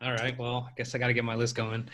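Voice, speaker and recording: A man's voice: mid-range voice, monotone, fast, sharp tone. A man hosting a podcast interview, laid-back, asking long rambling questions. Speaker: podcast host. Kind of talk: casual interview.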